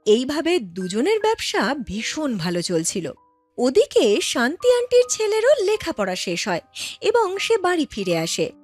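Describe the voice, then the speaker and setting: A woman storyteller narrates a scripted cartoon tale. Her voice is high and very lively in pitch, laid over faint background music. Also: a little slow.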